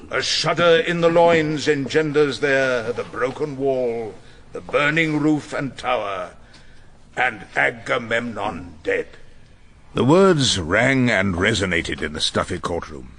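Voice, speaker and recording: Theatrical, wily old English barrister. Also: male voice, mid-range voice, fairly bright tone, a little hiss or hum, exaggerated voice. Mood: declaiming verse, then wry narration. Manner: theatrical, probing, dryly ironic. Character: wily, irascible older male English defence barrister, narrating his case. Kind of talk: radio drama courtroom acting and narration.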